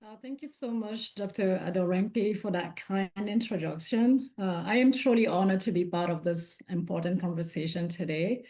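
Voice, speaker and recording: Woman from Cameroon, French native, mid-pitched, earnest conference speaker with fillers. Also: normal speed, hardly any pauses, darkish tone. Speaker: guest speaker. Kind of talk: conference panel talk.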